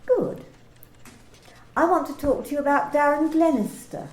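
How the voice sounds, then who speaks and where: Woman acting officious female boss, politely menacing, formal and precise, lively high voice, radio play, echoey room. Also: normal speed, close to an everyday voice. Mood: politely probing. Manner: formal, precise, clipped questioning. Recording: roomy, echoey home recording.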